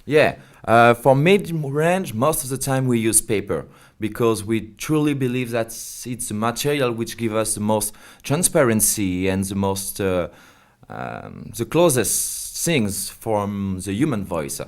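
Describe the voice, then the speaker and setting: A man's voice: fairly low pitch, animated, a little slow. Man explaining a product. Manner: hesitant non-native English phrasing. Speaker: company representative being interviewed.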